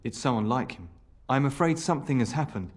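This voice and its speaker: A man playing an anxious, gloomy scholar's assistant in a video game, halting and fretful. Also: mid-range voice, lively intonation, natural voice. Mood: worried. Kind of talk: video game character acting.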